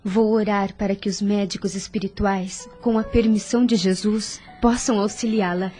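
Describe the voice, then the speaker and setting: A woman playing a compassionate, devout young nurse in an audio drama, speaking in a soft, earnest, consoling way. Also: mid-range voice, fairly even intonation, moderate pace, soft background music, close to an everyday voice. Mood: earnest comfort.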